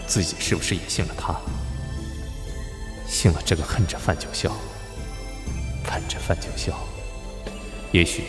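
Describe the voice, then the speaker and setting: A man playing a scheming, world-weary young prince in a Chinese period audio drama, speaking in a theatrical, drawn-out way with sighs. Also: deep voice. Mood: wistful. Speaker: lead voice actor in an audio drama. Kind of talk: period audio drama acting with inner monologue.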